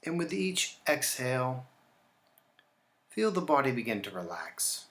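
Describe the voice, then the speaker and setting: A man teaching yoga nidra, leading a guided relaxation in a calm, instructional manner with deliberate pauses. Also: medium pitch.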